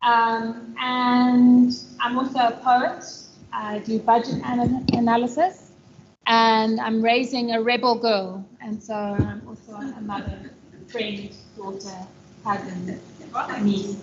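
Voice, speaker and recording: A woman's voice: fairly high pitch, level tone, slow pace, lo-fi sound. A woman from Zimbabwe, a poet, introducing herself on a panel in a spirited, rousing way. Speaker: poet and budget analyst. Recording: echoey, noisy hall with crowd.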